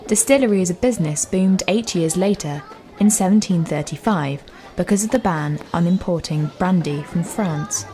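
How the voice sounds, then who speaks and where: A woman reading scripted documentary narration in a measured, informative way. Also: lowish voice, dark tone, a faint crowd, a little hiss or hum.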